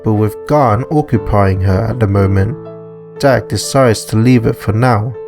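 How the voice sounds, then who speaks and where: A man's voice: on the low side, measured pace. A man reading scripted narration for a visual novel story, with music behind him. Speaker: narrator and author.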